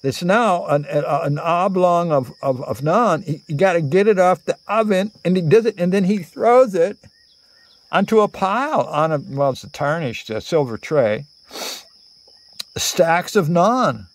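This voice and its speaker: A man in his sixties telling a rambling, improvised story in a theatrical, halting way, full of digressions and pauses. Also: fairly high pitch, animated. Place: outdoors under cover among greenery. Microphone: phone at arm's length.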